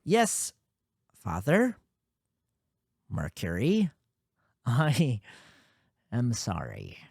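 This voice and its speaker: A woman playing a suspicious, sarcastic security officer in a sci-fi radio drama, with a theatrical, drawn-out delivery. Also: rumbling low, wildly swooping pitch, creaky, darkish tone, husky, no room sound, clean studio sound, full character voice. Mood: grudging.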